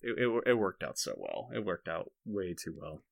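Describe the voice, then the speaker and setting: A man's voice: deep voice, big pitch swings, vocal fry, dry recording. Rambling young man chatting, close dry sound. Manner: halting, repetitive, rambling delivery. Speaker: podcast co-host. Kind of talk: casual chat, reminiscing.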